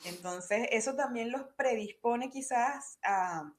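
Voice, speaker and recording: A woman's voice: a little high, takes it slowly. Venezuelan woman chatting thoughtfully. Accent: Venezuelan Spanish. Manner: thoughtful, hesitant, with many fillers and restarts. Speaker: podcast guest. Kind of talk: conversational interview.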